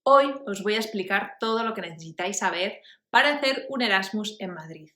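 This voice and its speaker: Woman language-channel host, interviewing a guest with friendly, encouraging questions, mid-pitched, echoey room. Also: lively intonation, a little fast, very noisy.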